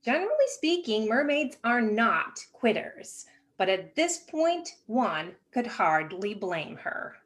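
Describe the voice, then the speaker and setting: Slow, lively, high-voiced woman storyteller. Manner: animated, measured, dramatic pauses. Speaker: storyteller reading a picture book aloud. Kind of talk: expressive children's storybook narration.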